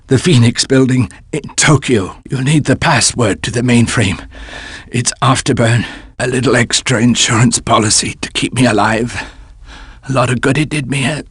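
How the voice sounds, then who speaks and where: A sardonic older man for a video game. His voice is husky and rough, recorded very dry and close. He sounds bitter and wry, quick to take offence. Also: mid-range voice, a bit bright, no echo. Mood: weary, grave.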